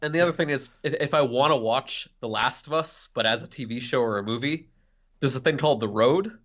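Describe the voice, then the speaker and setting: Male co-host, medium pitch, casual opinion talk, slightly dull audio. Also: brisk, fairly bright tone. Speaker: podcast co-host.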